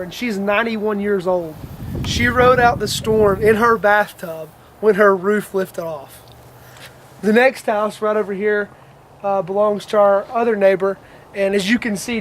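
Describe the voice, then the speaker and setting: A man's voice: high voice, a bit bright, a little hiss or hum. A man, giving a house tour in a casual video submission. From Alabama. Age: 20s. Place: outdoors and in a house.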